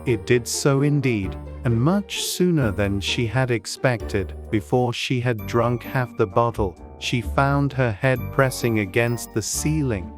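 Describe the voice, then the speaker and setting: A man narrating a storybook audiobook in a gentle, measured, good-humoured way. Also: medium pitch, faint music behind.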